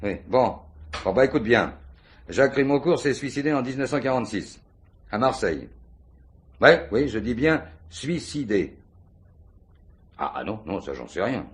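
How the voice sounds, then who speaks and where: A man's voice: mid-range voice, very animated, normal speed, long pauses, breathy edge. An actor playing a dogged, falsely casual police commissioner in a French radio mystery, muttering and repeating himself as he probes. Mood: brisk, businesslike. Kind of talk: French radio play acting.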